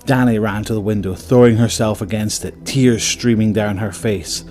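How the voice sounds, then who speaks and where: A man's voice: on the low side, steady tone, normal speed, darkish tone. A man narrating a scripted dramatic story as the narrator of an audio drama.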